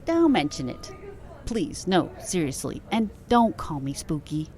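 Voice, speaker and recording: A woman playing a guarded ally in an audio drama, with a dry, prickly manner, over faint rumbling noise. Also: very animated, measured pace, darkish tone, full character voice. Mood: embarrassed, prickly.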